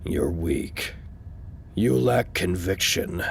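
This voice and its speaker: A man's voice: deep voice, extremely slow, vocal fry, a crowd in the background, heavily acted. A man playing a stubborn, unimpressed holdout in an audio drama, speaking tersely and firmly. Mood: dismissive. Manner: terse, firm.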